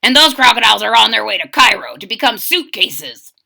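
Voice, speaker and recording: A woman's voice: on the high side, big pitch swings, a little fast, creaky, pushed and bright, heavily acted. A woman voicing a wise old male crocodile in a storybook, speaking in a grave, urgent tone of warning.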